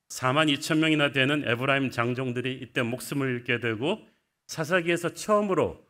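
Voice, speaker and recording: A man's voice: medium pitch, a bit bright. A middle-aged man from the Gyeongsang region, preaching a sermon that retells scripture as a vivid story. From Gyeongsang, South Korea. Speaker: pastor.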